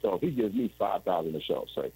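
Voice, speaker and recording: A man's voice: low-pitched, big pitch swings, fast talker, dark tone, breathy edge, poor audio. A man in his forties telling his story as an interview guest over a video call, rambling and restarting often. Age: 40s. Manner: rambling, with frequent restarts and "you know what I'm saying".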